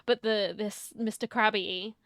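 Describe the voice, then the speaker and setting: A woman's voice: medium pitch, fairly even intonation, unhurried, a bit bright, dry recording, studio quality. A woman giving casual, reactive let's-play commentary, chatty and given to muttered asides. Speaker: game streamer. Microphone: close mic, dry. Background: faint game music.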